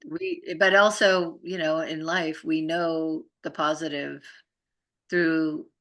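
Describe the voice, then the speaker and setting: A woman's voice: deep voice, fairly even intonation, slow, fairly bright tone. A middle-aged woman co-hosting a spiritual talk show, chatting casually in an earnest, explanatory way. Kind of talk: casual chat.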